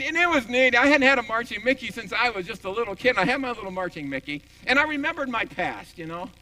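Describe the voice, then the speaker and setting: A man in his forties preaches from the pulpit in an easy, joking way, poking fun at himself as he goes. His voice sits high and rings bright and forceful, and his talk is full of stops and restarts. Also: poor audio. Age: 40s. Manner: animated, joking, self-deprecating storyteller with many restarts. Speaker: senior pastor. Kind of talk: conversational sermon with personal stories and jokes. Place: church sanctuary.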